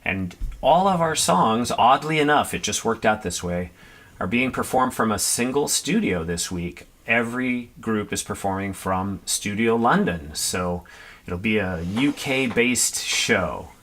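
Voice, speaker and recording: A man with an American accent hosts a live online music show. His voice sits a little low but has a bright, forceful edge. He delivers upbeat introductions to the audience. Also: lively intonation, a little slow, a little hiss or hum. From Seattle, United States.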